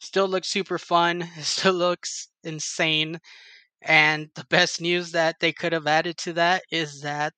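A man's voice: a little high, flat delivery, slow pace, bright, forceful tone, clean tone, dry recording. A man hosting a show in an enthusiastic, informal way, speaking casually like someone sharing news with fans. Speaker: show host. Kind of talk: casual gaming news recap and interview.

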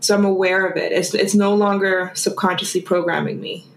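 A woman's voice: medium pitch, fairly even intonation, fairly quick, vocal fry, echoey room, a little hiss or hum, low-quality recording. A woman from Germany, a guest in a casual conversation, who talks in a chatty, rambling way full of fillers.

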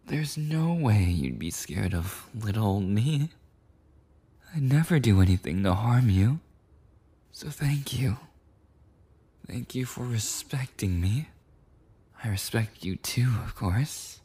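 Casting: male actor as obsessive, needy boyfriend for ASMR roleplay; low, gravelly voice, intimate rambling that drops into whispers. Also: animated, slow, long pauses, mellow, exaggerated voice. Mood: tender, whispery gratitude. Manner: breathy rambling, often dropping into whispers. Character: clingy, obsessive, insecure possessive yandere boyfriend.

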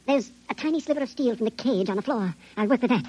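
A man's voice: quick pace, darkish tone, husky. An actor in an old radio drama playing a resourceful, practical shrunken man, with his voice sped up to sound tiny. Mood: determined. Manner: practical, urgent. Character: resourceful, determined five-inch shrunken man. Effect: sped-up, pitched-up tiny voice. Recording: noisy, dull vintage radio recording.

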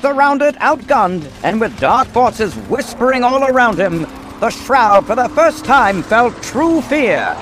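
Man as a very high-pitched dramatic radio serial narrator. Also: big pitch swings, takes it slowly, music in the background, exaggerated voice.

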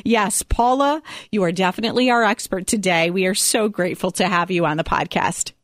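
A woman's voice: mid-range voice, fairly quick, no echo, studio quality. A woman hosting a podcast, presenting to listeners and interviewing in a direct way. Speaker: podcast host.

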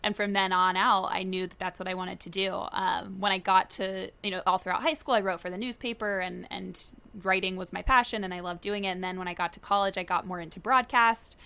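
A woman's voice: medium pitch, fairly even intonation, fairly quick, gravelly, few pauses, sharp tone, clean tone. A young woman from Florida, a business journalist, chatting as an interview guest in an upbeat way and laughing often. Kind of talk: casual interview chat.

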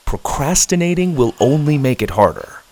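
A man's voice: fairly high pitch, sing-song, moderate pace, darkish tone, pitched above the performer's own voice. A man voicing a patient father in a storybook who explains things and teases dryly. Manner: explaining, dry teasing. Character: patient dad, man, gently teasing.

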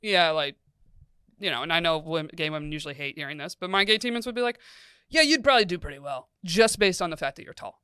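A young adult woman with a deep voice, chatting in a reflective, self-deprecating way. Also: animated, fast, bright, forceful tone, clean tone, no echo, clean studio sound. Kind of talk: casual chat.

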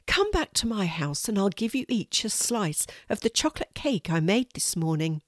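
A woman's voice: medium pitch, very animated, normal speed, dry recording, clean studio sound, lightly acted. A woman doing a fretful lady. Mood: grateful. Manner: anxious, fretful. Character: worried kindly woman, puppy owner.

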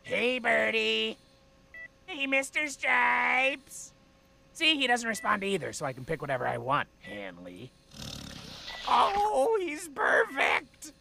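A man playing an excitable, scatterbrained demon hunter in a cartoon comedy, stammering and theatrical, swinging between muttering and yelling. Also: sits high, a little slow, gravelly, exaggerated voice. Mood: tender, emotional. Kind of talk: animated comedy acting. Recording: loud music behind the voice.

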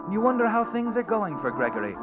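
A man narrating a radio drama in the second person, measured and ominous. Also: high-pitched, very animated, phone-quality sound. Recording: old radio recording with music underneath.

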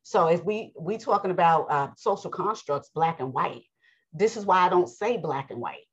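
Woman scripture teacher, low and husky, strained voice, emphatic sermon delivery, muffled recording. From United States. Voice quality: raspy. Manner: emphatic, preachy, clears throat often. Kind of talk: bible lesson with commentary.

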